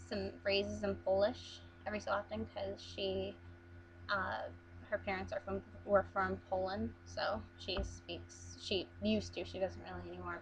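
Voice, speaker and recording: A woman's voice: medium pitch, a little hiss or hum, poor audio. A young woman from Las Vegas chatting casually through an accent challenge, hesitant with frequent ums and restarts. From Las Vegas, USA. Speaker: vlogger.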